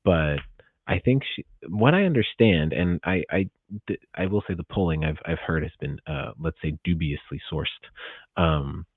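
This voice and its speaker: Male podcast co-host, American, very deep voice, informal and hedging, dry, slightly dull recording. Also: normal speed, breathy edge, dry recording. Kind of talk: casual political discussion.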